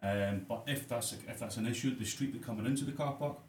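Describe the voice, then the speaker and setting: A man's voice: mid-range voice, quick pace, reverberant, a little hiss or hum. A Glaswegian man, a barber and radio guest, chatting casually with many fillers and repeated phrases. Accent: Glaswegian Scottish. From Glasgow, Scotland.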